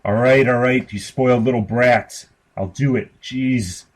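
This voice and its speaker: A man's voice: mid-range voice, steady tone, unhurried, voice pushed up, lightly acted. A man voicing an exasperated teenage big brother in a story, irritable and grudging as he gives in.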